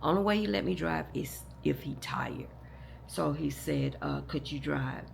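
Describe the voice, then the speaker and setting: A hoarse, deeply gravelly woman tells her viewers a long personal story. She rambles, restarts her sentences and speaks to them directly as "y'all." A faint noise sits under the recording. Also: measured pace. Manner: rambling, repeats and restarts, talks straight to "y'all". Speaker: vlogger.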